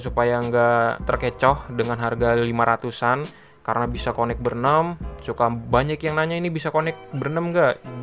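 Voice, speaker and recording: A man's voice: mid-range voice, fairly bright tone. Casual man explaining over background music. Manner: informal, rambling, repeats himself with filler tags. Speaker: product reviewer and online seller. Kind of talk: casual unscripted product explainer.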